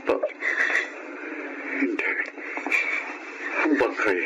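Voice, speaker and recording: A man's voice: extremely slow, dull audio. A man playing a kindly, remorseful middle-aged funeral parlor owner in Filipino melodrama style. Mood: kindly, sombre. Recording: clear background noise, radio-like sound.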